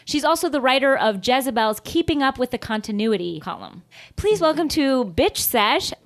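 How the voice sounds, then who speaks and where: A woman with a fairly high voice that swings widely in pitch. She hosts a casual, comedic podcast and chats in an animated, jokey way, quick to banter. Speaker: podcast host. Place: small nook in a house.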